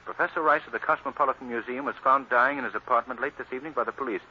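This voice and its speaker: An actor playing a wealthy, urbane young amateur detective, assured and easygoing, in 1940s radio drama style. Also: male voice, mid-range voice, very fast, lightly acted. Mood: serious, intent. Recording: dull, hissy old radio drama.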